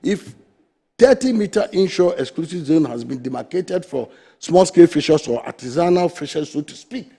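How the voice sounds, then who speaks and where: A middle-aged Ghanaian man, president of a fisheries association, arguing his case forcefully and emphatically as a guest on a TV studio discussion. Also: fairly high pitch, animated, moderate pace, vocal fry, husky.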